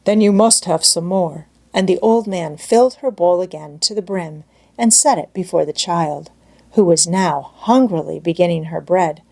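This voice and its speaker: A woman's voice: lowish voice, creaky, darkish tone, breathy edge. A woman reading scripted storybook narration as an audiobook narrator.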